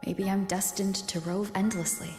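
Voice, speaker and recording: An actress voicing a young, gentle, earnest wandering hero in a video game, speaking in a measured, reflective way like a narrated letter. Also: female voice, mid-range voice, flat delivery, natural voice. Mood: wistful. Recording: echoey, long reverb, music behind.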